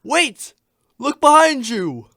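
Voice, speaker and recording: A man's voice: very high-pitched, big pitch swings, slow pace, gravelly, sharp tone, no echo, studio quality, voice pushed up, full character voice. A man voicing an easygoing, greedy chicken in a radio comedy sketch, comically earnest and given to exclaiming. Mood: excited.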